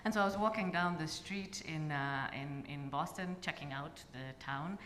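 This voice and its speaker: A woman's voice: low-pitched, a bit bright. Kenyan woman laughing through anecdotes. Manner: laughing, quick asides. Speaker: guest. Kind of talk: casual storytelling on a talk show. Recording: roomy hall with long echo, faint noise.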